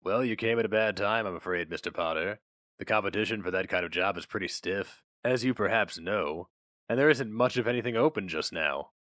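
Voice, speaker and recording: A man's voice: lowish voice, a little fast, fairly bright tone, dry recording, studio quality, natural voice. A man voicing a polite, unimpressed young male interviewer with a pleasant, philosophical manner.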